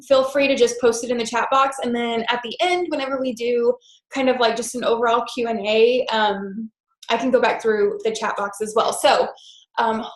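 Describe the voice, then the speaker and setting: A woman's voice: on the high side, reverberant. A woman with a stuffed-up head cold, leading a team call in an upbeat, chatty way. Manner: upbeat and enthusiastic with many "super"s and "um"s. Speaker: team leader of a coaching group. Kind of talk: hosting a team conference call with announcements.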